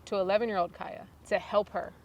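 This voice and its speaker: A woman's voice: medium pitch, steady tone, fairly quick, muffled recording, a little hiss or hum. A woman interviewing a student, asking open questions and affirming and rephrasing her answers. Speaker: interviewer, coach.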